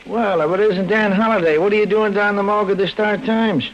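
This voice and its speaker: Man playing a high-voiced, jokey newspaper clerk. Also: fairly even intonation, fairly quick, heavy background noise, natural voice. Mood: jovial, joking. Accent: American. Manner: chummy, wisecracking. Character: jokey, folksy male newspaper archive clerk. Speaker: supporting actor in a radio serial. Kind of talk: old-time radio acting. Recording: muffled old radio broadcast.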